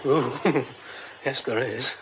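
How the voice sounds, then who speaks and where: A man's voice: mid-range voice, slow, lightly acted. An actor playing a young theatre man who mutters to himself wryly and stammers under strain. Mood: musing. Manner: muttering to himself, wry, later frantic stammering. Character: young male theatre man exploring a derelict theatre. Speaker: lead character. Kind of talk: radio drama acting. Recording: dull old radio recording with hiss.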